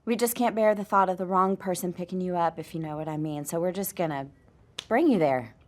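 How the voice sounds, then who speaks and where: A woman's voice: fairly low pitch, brisk, a little mellow, natural voice. An actress playing an outwardly kind, motherly wife in an audio drama, soothing and confiding with a nervous edge. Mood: reassuring. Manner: soothing, confiding, terms of endearment. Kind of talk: naturalistic audio fiction acting.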